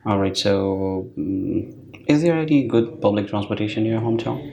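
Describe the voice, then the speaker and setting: Low gravelly guy running a mock speaking test, lots of hmms and um-so's, sounds echoey. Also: a little mellow, slight background noise. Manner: slow, hesitant questions with many fillers and backchannel hums. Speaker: IELTS speaking examiner. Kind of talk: mock exam questioning. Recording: echoey room.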